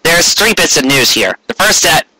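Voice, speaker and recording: A man's voice: fairly high pitch, very animated, moderate pace, bright, forceful tone, breathy edge, no room sound, voice pushed up, heavily acted, rough recording. A man voicing a proud, earnest male cartoon pony baker who is smitten and eager.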